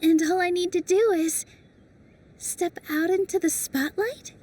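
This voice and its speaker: A woman voicing a graceful young dancer in a video game, soft, thoughtful and playful. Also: very high-pitched, unhurried, far from the mic. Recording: voice set back from mic, faint game music.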